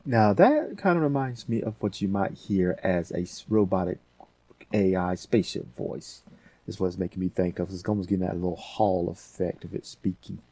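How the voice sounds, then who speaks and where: A man hosting a casual software tutorial, thinking aloud in an unscripted way. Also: low-pitched, normal speed. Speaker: tutorial host.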